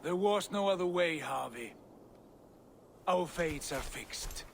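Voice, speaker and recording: A resolute Norse warrior god declaims in formal, grave phrases. His fairly high voice moves widely in pitch, as dramatic game acting over clear noise. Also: expressive, slow, full character voice. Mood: somber. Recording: noisy game sound effects behind voice.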